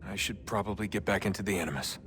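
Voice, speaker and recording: An actor playing an earnest, subdued young man in a video game cutscene, speaking in a casual, low-key way. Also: quick pace, fairly bright tone, a little hiss or hum. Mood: wary.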